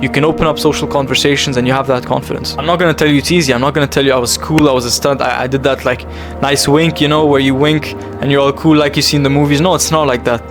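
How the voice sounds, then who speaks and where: A man's voice: mid-range voice, fairly even intonation, fast talker, music in the background. A young man speaking earnestly to camera from his bedroom, intense and repeating phrases for emphasis, calling the listener bro. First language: English. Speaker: self-improvement vlogger. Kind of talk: emotional confessional monologue to camera.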